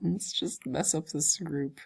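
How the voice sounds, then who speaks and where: A man's voice: fairly high pitch, takes it slowly, gravelly, slightly rough. A young man with a cold and a stuffy voice, giggling mischievously as he talks through a screen-recorded game and thinks aloud while clicking around. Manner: giggly, mischievous, often breaks into laughter. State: has a cold, stuffy deeper voice. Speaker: gaming channel host. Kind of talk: casual screen-recording commentary.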